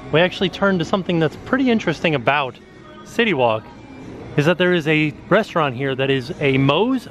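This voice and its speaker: A man narrating a walking tour of an outdoor shopping and dining area, chatty and pointing things out as he walks, recorded on a handheld camera. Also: on the high side. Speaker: vlogger giving a walking tour.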